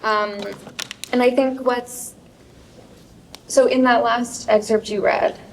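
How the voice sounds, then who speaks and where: Flat, gravelly woman moderating a panel. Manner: halting, searching for words, many fillers. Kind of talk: panel discussion question. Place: event stage before an audience. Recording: distant mic in a large room, faint rumble.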